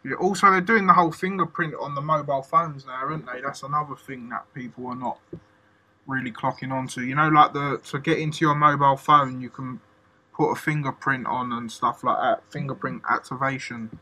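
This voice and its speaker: A man's voice: medium pitch, steady tone, fairly quick, pushed and bright. A man hosting a casual interview, enthusiastic and chatty, using slang and many "like" fillers. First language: English. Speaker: podcast host.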